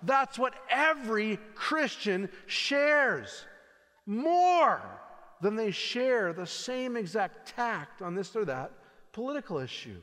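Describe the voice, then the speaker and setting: A man preaching a sermon as pastor, earnest and swelling louder on key points. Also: high voice. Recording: long reverb in a large hall.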